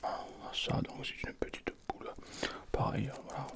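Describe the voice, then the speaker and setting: A man hosting an ASMR tasting in a casual, hushed, low voice. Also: moderate pace. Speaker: ASMR video host. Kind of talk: whispered ASMR food tasting.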